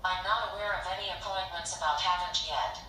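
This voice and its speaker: Brief: female voice assistant, lowish and bright, echoey tinny playback from afar. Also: fairly quick, recorded from a distance, faint music behind. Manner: even, synthetic replies. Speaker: phone voice assistant. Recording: echoey phone speaker replayed from a tablet.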